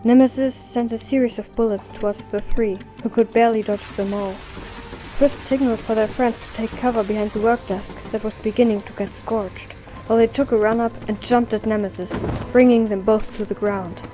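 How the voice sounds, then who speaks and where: A woman's voice: a little high, fairly even intonation, a little fast, music in the background, poor audio. A woman reading scripted story narration for an audio drama.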